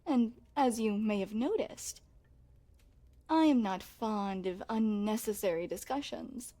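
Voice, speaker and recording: Woman acting a soft-toned, unhurried regal young princess. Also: medium pitch, close to an everyday voice. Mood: gracious, teasing. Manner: formal, courtly, coy phrasing. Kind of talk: intimate ASMR roleplay acting.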